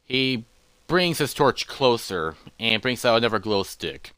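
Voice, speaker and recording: A man narrating a fantasy adventure in the third person, halting and musing as he improvises the story. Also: mid-range voice, expressive, a bit bright, clean tone, no echo.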